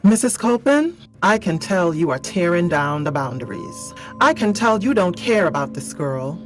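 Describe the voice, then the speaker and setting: Low-pitched woman narrator, firm and deliberate. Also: big pitch swings, music in the background. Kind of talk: scripted explanatory narration.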